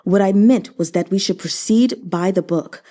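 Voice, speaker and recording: A woman voicing a shrewd, unreadable male commander in a historical novel, calm, formal and deliberate. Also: medium pitch, close to an everyday voice. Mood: composed, shrewd.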